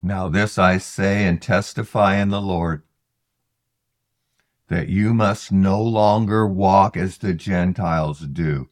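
A man reading scripture aloud in a solemn, deliberate, declamatory way with dramatic pauses. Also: deep voice, steady tone, no room sound, clean studio sound. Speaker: scripture reader.

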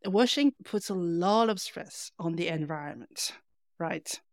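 A woman's voice: fairly low pitch, animated, unhurried, a little mellow, no room sound. Woman chatting, close and dry. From lives in Paris. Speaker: podcast co-host. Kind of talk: casual conversation.

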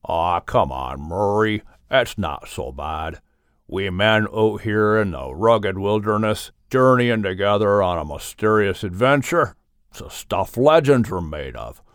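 Man voicing a deep, easygoing bear. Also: animated, measured pace, clean tone, no room sound, studio quality. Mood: hearty, encouraging. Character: big easygoing hungry male bear, folksy Canadian adventurer. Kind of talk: audiobook character voice.

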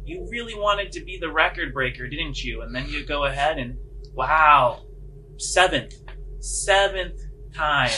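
A man's voice: on the high side, expressive, unhurried, sharp tone, recorded from a distance, a little hiss or hum, heavily acted, rough recording. A man voicing an exasperated, sardonic female spaceship pilot in a tabletop game, with a sarcastic drawl.

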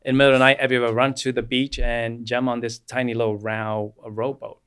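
A man in his forties, born in Vietnam, with Vietnamese as his first language, recounting his life story at an easy, unhurried pace with plenty of "uh"s and restarts. His voice sits at a middle pitch for a man. The recording is dry and close, with no room echo. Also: level tone, fairly bright tone, clean tone. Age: 40s. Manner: unhurried, with frequent fillers and restarts. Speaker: guest chiropractor. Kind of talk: personal storytelling in an interview.

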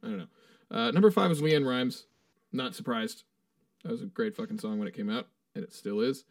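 A man's voice: medium pitch, expressive, fairly quick, no echo. Man, podcast co-host, casual, profane, a bit far from the mic, dry room. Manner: loose, swears, reads out a ranked list. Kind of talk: casual chat.

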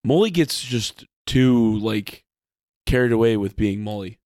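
A man's voice: lowish voice, animated, measured pace, a little mellow, no echo, studio quality. An American man co-hosting a sports podcast in casual fan chat. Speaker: podcast co-host.

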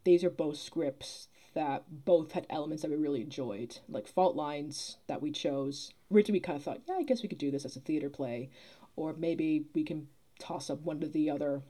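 A young woman talking casually on a podcast, halting as she thinks aloud. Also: deep voice, dark tone. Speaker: guest, theatre producer.

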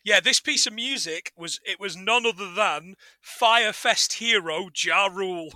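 A man's voice: high voice, unhurried, pushed and bright, no echo. A man co-hosting a film podcast, recapping casually in a jokey, sardonic and sweary way.

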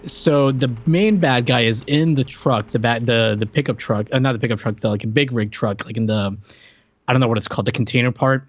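Male film-podcast co-host, mid-pitched, casual and incredulous storyteller, slightly lo-fi sound. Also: brisk. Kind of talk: casual film-review chat.

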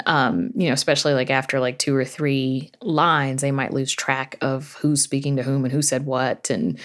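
A woman speaking as an interview guest, explaining her thoughts casually and peppering them with "you know.". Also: extremely low pitch. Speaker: podcast creator and narrator, interview guest.

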